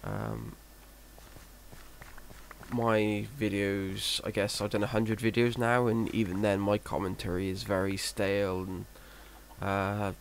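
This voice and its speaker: A deep-voiced man talks over his gameplay in a flat monotone, with a gravelly rasp at the ends of his words. He wanders off track and puts himself down as he goes, and a steady hiss of noise sits under the recording. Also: slow pace. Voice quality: monotone, self-described. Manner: rambling, hesitant, self-deprecating. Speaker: Minecraft let's player. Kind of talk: casual gameplay commentary. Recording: noisy home recording.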